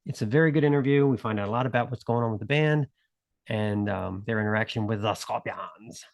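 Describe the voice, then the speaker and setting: Male co-host, relaxed and wry, speaking close to a dry mic. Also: on the low side, brisk, gravelly, no echo. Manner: relaxed, filler-heavy, wry asides. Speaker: podcast co-host. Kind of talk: casual podcast chat.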